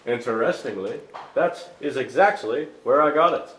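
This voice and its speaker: A man's voice: mid-range voice, animated, normal speed, fairly bright tone, lightly acted. A man playing a friendly young soldier with hearty theatrical delivery in a staged reading. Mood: grateful. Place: indoor stage at an arts venue. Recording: echoey stage, faint background noise.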